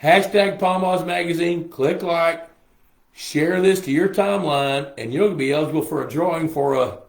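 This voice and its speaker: An older man, a little hoarse, chatting casually with livestream viewers in a folksy way. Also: a little high, moderate pace. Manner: chatty, folksy, reads viewer comments aloud. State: hoarse from a lingering cough. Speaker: host. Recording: roomy echo on a live stream.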